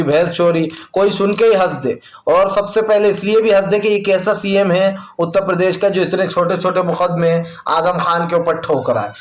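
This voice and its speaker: High-pitched man presenting news, echoey. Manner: emphatic, repetitive, colloquial. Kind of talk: opinionated news narration, addressing viewers as friends.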